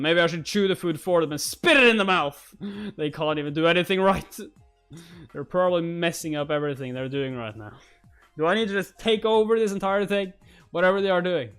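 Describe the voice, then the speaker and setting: A man acts out an arrogant young martial prodigy from a comic, irate and exasperated. His voice jumps high and loud as he rants, with music behind. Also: smooth. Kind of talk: comic read-aloud.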